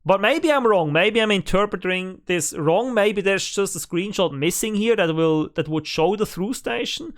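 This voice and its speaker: A man's voice: high voice, lively intonation, normal speed, few pauses. A man who is a native German speaker, hosting casual game news commentary from home on a desk microphone, thinking aloud with lots of fillers and restarts. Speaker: gaming channel host.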